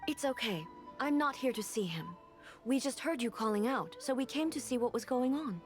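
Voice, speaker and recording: A woman playing a dignified, contemplative ruler deity in a video game, speaking formally and in measured, reflective phrases. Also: fairly high pitch, lively intonation, lightly acted. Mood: calm, reassuring. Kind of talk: video game character acting. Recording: studio voice with music behind.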